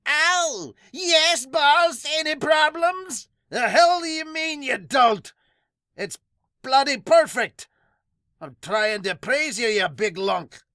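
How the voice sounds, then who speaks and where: A brash carpenter acted by a man hollers in a high, strained voice. The talk is rough and slangy, loud and bright, like a cheeky workshop exchange in a manga dub. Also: lively intonation, slow, no echo, studio quality, full character voice. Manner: shouted, strained, rough working-class slang.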